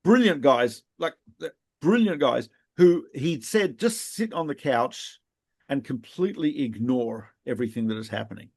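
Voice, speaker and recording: A middle-aged man who grew up in Australia, co-hosting a podcast and telling lively anecdotes in a casual conversation. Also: mid-range voice, a little slow. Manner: animated storyteller, laughs at his own anecdotes. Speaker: podcast co-host, rabbi.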